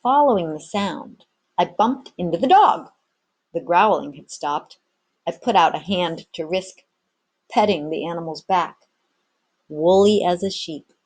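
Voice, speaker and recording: Husky woman reading a storybook aloud. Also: fairly low pitch, expressive, measured pace. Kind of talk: expressive storybook narration.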